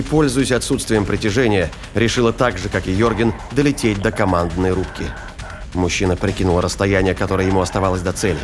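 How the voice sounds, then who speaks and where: A man narrates a science-fiction audio drama in a fairly low voice. He reads scripted prose, with clear music and sound effects running behind him. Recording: studio reading over prominent music and effects.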